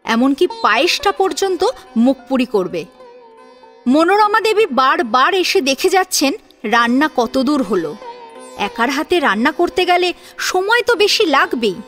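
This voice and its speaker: High-pitched expressive woman storyteller. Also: a little slow. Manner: expressive storytelling with rhetorical questions. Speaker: narrator of an audio story serial. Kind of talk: dramatic scripted narration. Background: soft sitar and plucked string music.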